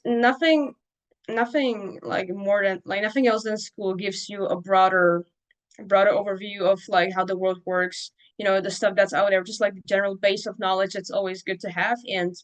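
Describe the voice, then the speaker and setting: A young woman whose first language is Czech, speaking as a guest on a podcast. She talks loosely and casually, with many fillers and restarts, at a middle pitch. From Czech Republic. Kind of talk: casual interview talk.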